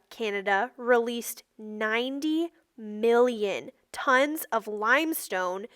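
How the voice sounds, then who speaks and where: A woman reading a non-fiction children's book aloud in a measured, instructional way, phrase by phrase. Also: fairly high pitch, pushed and bright, no room sound, studio quality. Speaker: read-aloud narrator.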